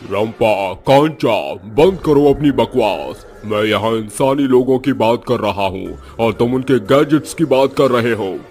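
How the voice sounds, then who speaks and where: A man's voice: medium pitch, measured pace, hardly any pauses, a little mellow, lightly acted. A man voicing a bossy, menacing chieftain of ghosts and witches in a cartoon horror story, commanding and scolding. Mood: impatient, scolding. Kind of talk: Hindi cartoon horror voice acting.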